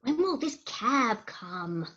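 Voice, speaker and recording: A woman plays a brisk Victorian wife and mother in a theatrical farce. Her delivery is firm and arch, with very lively intonation. The sound is a little dull and lo-fi. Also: on the high side, heavily acted. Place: home, recorded remotely.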